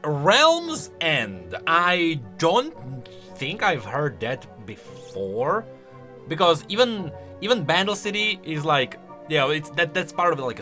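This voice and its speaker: A man giving casual reaction commentary as a video host, enthusiastic and halting as he thinks aloud. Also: a little high, bright, forceful tone.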